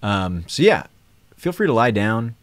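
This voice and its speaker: Low-pitched man in casual livestream chat. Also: sing-song, measured pace. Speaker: livestream host. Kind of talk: casual live stream chat.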